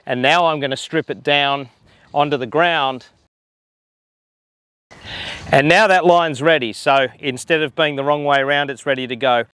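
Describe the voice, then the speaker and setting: A man in his forties, a fly fishing guide, explaining line handling outdoors on a harbor dock with a lapel mic, relaxed and a bit hesitant. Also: fairly high pitch, dry recording. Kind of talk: instructional demonstration.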